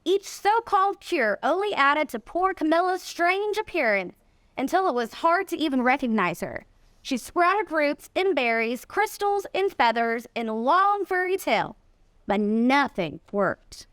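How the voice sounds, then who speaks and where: A woman reading a children's storybook aloud in an expressive storytelling way. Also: high-pitched, a little slow, no room sound. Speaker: volunteer reader, nonprofit executive.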